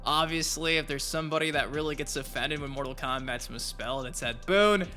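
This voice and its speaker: A man with a bright, forceful voice set a little high talks as a gaming channel host. He gives casual opinions in loose, slangy language and speaks straight to his viewers. Music plays behind him. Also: brisk. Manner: colloquial, profane, addresses viewers directly.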